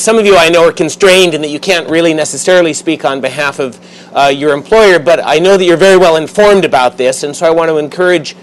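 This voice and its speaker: Male moderator, slightly higher voice, polite and inviting, faint room noise. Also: poor audio. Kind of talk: moderating a panel discussion. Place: conference room.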